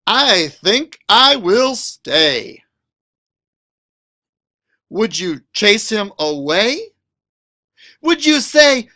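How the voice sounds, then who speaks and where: A man reading a children's picture book aloud as a school librarian, expressive and questioning, stretching out words for young listeners. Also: high voice.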